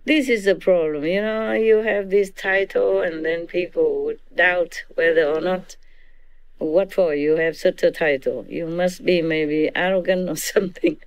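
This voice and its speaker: Slow, chuckling Vietnamese-accented woman. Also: on the low side, steady tone, clear, resonant tone, rough recording. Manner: chatty, laughs often, keeps checking in with listeners. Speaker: spiritual teacher. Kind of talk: informal teaching to disciples.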